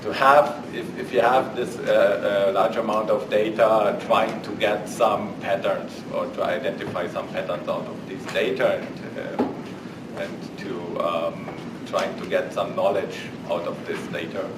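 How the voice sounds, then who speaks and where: A man with a German accent introducing his research at a faculty panel, halting with many fillers. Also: low-pitched, steady tone. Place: conference room. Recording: echoey room, far mic, noise.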